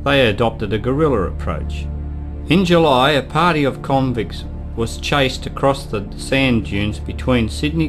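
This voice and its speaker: A man reading scripted historical narration for a radio play. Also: mid-range voice. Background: guitar music.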